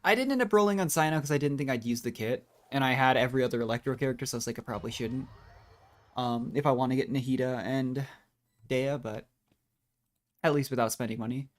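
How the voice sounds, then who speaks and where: Chatty game streamer, medium-pitched. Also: fast. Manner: chatty, musing, trailing asides. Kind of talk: casual live game commentary.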